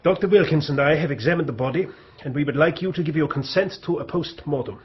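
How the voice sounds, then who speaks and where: An actor playing a grave, authoritative doctor, formal and commanding, in a radio drama. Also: male voice, a little high, quick pace, slightly rough, dull audio. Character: grave, authoritative foreign doctor, a poisons specialist.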